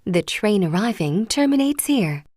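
Very slow, melodic woman station announcer. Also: medium pitch, very animated, darkish tone, no room sound, clean studio sound. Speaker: English-language station announcer. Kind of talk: scripted public transit announcement.

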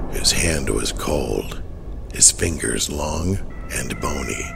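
Male narrator for horror drama: very low, hugely animated, dramatic storytelling over music. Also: creaky. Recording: music underneath.

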